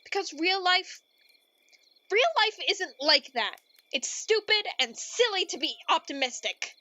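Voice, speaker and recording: A woman playing an earnest, easily exasperated storyteller in a radio play, with a theatrical, deliberate delivery. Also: very high voice, expressive, sharp tone, no room sound, lo-fi sound, exaggerated voice. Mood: doubtful, defensive. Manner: melodramatic, deliberate.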